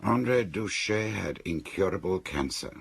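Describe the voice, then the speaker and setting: A detective's inquisitive confidant in an audio drama. The voice is deep and creaky, with expressive swings as he thoughtfully questions what he is told. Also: unhurried, full character voice. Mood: pensive, questioning. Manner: questioning, pensive. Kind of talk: radio drama dialogue.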